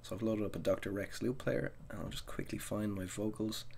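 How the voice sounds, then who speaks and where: A man narrating a software tutorial as the instructor, explaining each step methodically. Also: on the low side, fairly quick, gravelly, slight background noise.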